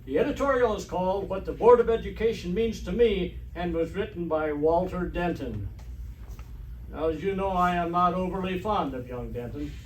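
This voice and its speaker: An actor playing a pompous, vain, bossy middle-aged principal in old-time radio comedy, formal and blustery. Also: male voice, high-pitched, normal speed, distant mic, natural voice. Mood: imperious. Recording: roomy stage recording from afar, hiss.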